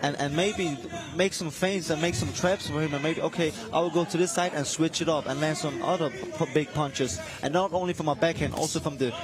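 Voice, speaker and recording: A man's voice: a little high, fairly quick, hardly any pauses, crowd noise. A man from Denmark, a boxer, commenting on a live fight as a guest and often restarting his phrases. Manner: eager, restarts phrases, chatty. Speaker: guest boxing analyst, boxer.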